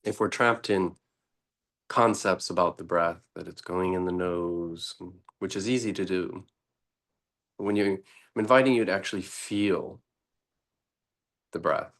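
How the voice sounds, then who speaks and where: A man teaching meditation in an unscripted, deliberate way, pausing often between short phrases. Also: low-pitched, fairly bright tone.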